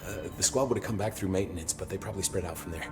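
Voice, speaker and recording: Male security officer played by a man, low voice, sincere, confiding and concerned, music behind. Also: steady tone, racing, close to an everyday voice. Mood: confiding, worried. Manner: casual, confiding. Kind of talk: video game dialogue acting.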